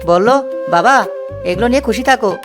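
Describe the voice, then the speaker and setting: A woman's voice: fairly high pitch, animated, a little fast, lightly acted. A woman voicing a lazy, cheeky, good-hearted young village man in a children's cartoon, breezy and boastful. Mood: cheerful, boastful. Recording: loud music under the voice. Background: loud music.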